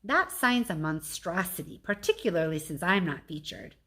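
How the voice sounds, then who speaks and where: A woman's voice: fairly low pitch, sing-song, normal speed, fairly bright tone. A woman voicing a grumpy, sarcastic male stray dog in a storybook, with an exasperated, wisecracking manner. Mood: snippy.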